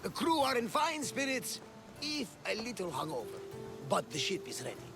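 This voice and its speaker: An actor playing a jovial older ship captain in a game cutscene, hearty and boisterous, with a gravelly voice. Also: male voice, high-pitched, huge pitch swings, normal speed, heavily acted. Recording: game cutscene with music behind.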